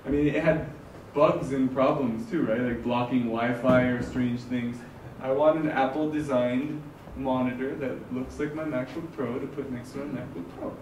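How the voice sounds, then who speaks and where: Loud animated man in a roomy meetup. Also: medium pitch, normal speed, highs cut off. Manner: loud, animated, talks in bursts. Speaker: meetup attendee. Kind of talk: casual group discussion. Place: meetup room. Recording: roomy, from far off, faint noise.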